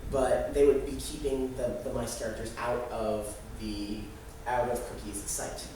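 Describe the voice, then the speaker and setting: A young adult man giving a halting, explanatory student presentation live in a room. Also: medium pitch, little pitch movement, dark tone. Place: echoey indoor room. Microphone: distant microphone. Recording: very echoey room, distant mic, noisy.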